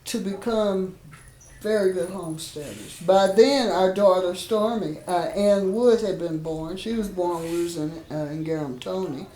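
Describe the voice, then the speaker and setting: A woman's voice: on the low side, normal speed, dark tone. Older Kansas City woman telling stories. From Kansas City, USA. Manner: folksy, rhythmic, laughs while telling. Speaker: interview guest. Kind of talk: storytelling in conversation. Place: home in a rural village. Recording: roomy echo.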